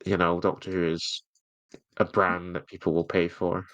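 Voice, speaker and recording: Low-voiced British man, wry podcast banter. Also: monotone, moderate pace, fairly bright tone, no echo. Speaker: podcast co-host. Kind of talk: casual banter.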